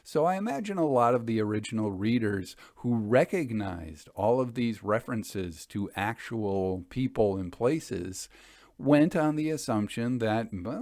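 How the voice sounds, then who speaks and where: Guy hosting a story reading show, mid pitched voice, measured and deliberate, really dry recording. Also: darkish tone, no echo. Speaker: host and story reader. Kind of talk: literary story reading and commentary.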